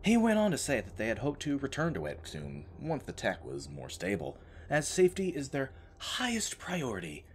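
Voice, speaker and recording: A man's voice: medium pitch, wildly swooping pitch, normal speed, hardly any pauses, slight background noise. A man narrating an audio drama as a storyteller, with a wry, informal turn of phrase.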